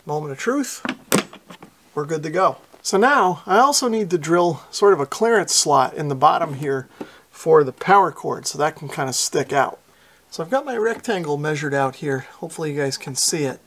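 A man's voice: a little high, expressive, normal speed, fairly bright tone. A middle-aged American man hosting a hobby how-to, talking in an easygoing, self-deprecating, casual way. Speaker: host of a radio hobby channel.

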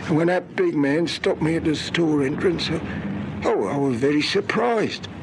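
A poor old drifter, humble and thankful, in an old radio play. The voice is highish and full of creak, rambling in homely, ungrammatical phrases. The recording is clearly noisy. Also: male voice, expressive. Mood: rambling, earnest. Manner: rambling, halting, rustic ungrammatical speech. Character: elderly poor, humble, grateful down-and-out man. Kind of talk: radio drama acting.

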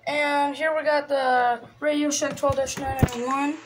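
A woman casually showing off a hobby collection, halting and trailing off, recorded on a handheld phone indoors. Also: high voice, little pitch movement. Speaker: video host showing a personal radio collection. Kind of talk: casual hobby show-and-tell. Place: small cluttered room indoors. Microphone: handheld phone camera. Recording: clicky, noisy handheld home recording.

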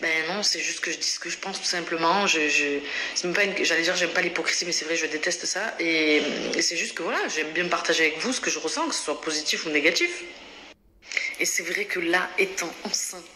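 A woman's voice: fairly low pitch, brisk, bright, forceful tone, roomy sound, slight background noise. A pregnant woman in her thirties chatting casually to her phone camera at home, outspoken and chatty, with lots of fillers and restarts. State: pregnant, on bed rest. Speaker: vlogger. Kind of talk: casual vlog chat. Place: home interior, bedroom and bathroom. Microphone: phone held at arm's length.

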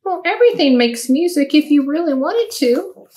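A woman voicing a kindly, wise little male cricket in a storybook reading, speaking softly and encouragingly. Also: sits high, animated, moderate pace, voice pushed up. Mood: gentle.

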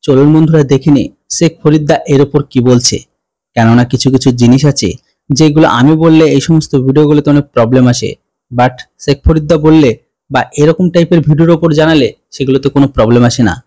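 Young man, higher-pitched voice. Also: a little fast, dark tone, poor audio. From India. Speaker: reaction video host. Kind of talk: scripted-style news commentary for online video.

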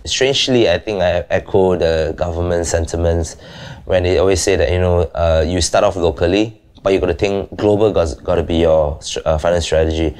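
A man's voice: low-pitched, a little fast, darkish tone, smooth. A young man giving his view in a documentary interview.

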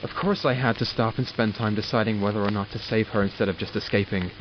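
A man's voice: on the low side, fairly even intonation, fast, natural voice. A man acting in an audio drama as an arrogant, exasperated doctor who studies supernatural captives, clinical and sardonic in manner. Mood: wry, hesitant. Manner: clinical, sardonic, self-talking. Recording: muffled, with loud background noise.

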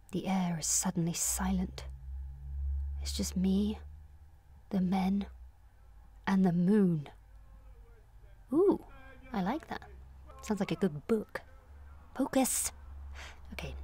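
A woman in her late twenties performing a confessional comic monologue in a candid, wry, self-questioning way, moving between confiding and animated. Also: medium pitch, husky. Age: late 20s. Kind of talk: confessional comic audio monologue, present-tense narration.

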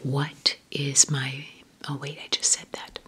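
A woman whispering gently through an ASMR question-and-answer video, talking fondly and slowly with soft fillers. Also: a bass voice, little pitch movement, a little hiss or hum. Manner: slow, gentle, affectionate rambling with fillers. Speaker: ASMR creator.

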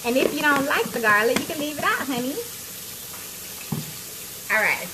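A woman's voice: high voice, lively intonation, moderate pace. Chatty woman cooking live at home. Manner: chatty and playful, talks to viewers as y'all. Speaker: cooking show host. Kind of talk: casual livestream cooking chat. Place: home kitchen, indoors. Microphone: phone on a tripod, close to arm's length. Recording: noisy kitchen with sizzling frying.

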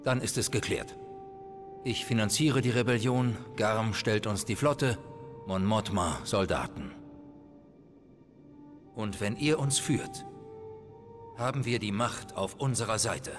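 Casting: solemn rebel statesman, video game, unhurried and oratorical, wide melodic range, music behind. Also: on the low side, expressive. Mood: resolute. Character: dignified senator rallying a rebellion, solemn and resolute. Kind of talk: dubbed video game cinematic acting. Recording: loud film score underneath.

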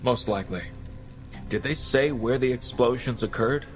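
Composed male vigilante, played by a man, audio drama: medium pitch, confident, matter-of-fact, over score. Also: normal speed, faint music behind, natural voice. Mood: grave. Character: calm, confident masked male vigilante with mystical powers.

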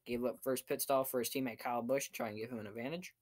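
A man's voice: medium pitch, fairly even intonation, fast. A young man talking casually in a vlog recap, in short piecemeal phrases, at a desk in a small room. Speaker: amateur race reviewer. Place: small cluttered room indoors.

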